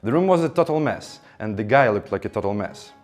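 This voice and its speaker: A man's voice: lowish voice, brisk, soft background music. Man telling a motivational story. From Ukraine. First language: Ukrainian. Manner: animated, acts out dialogue. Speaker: speaker.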